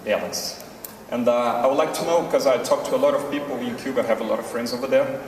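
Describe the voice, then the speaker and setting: A man's voice: mid-range voice, fast talker, a little hiss or hum. Nervous Brazilian-accented man in an echoey hall. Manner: nervous, hesitant. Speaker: audience member asking a question. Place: large convention hall. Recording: echoey hall audio from far off.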